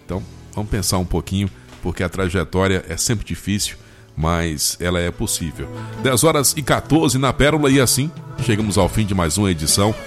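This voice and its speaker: A man's voice: sits low, fairly quick, soft background music. A Brazilian man hosting a radio program, closing with a short reflection and announcer sign-off. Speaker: radio host.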